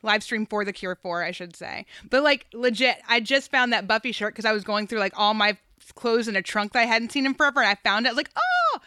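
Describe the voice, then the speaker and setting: A woman's voice: a little high, sing-song, fast talker, bright, forceful tone, dry recording, studio quality. A woman hosting a podcast in casual chat, informal and emphatic, with plenty of slang. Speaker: podcast host.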